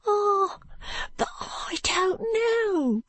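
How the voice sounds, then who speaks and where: A woman voicing a frightened, pitiful ragged street boy with a high, cracked, tearful voice and slurred street speech. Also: drawn-out words, dry recording.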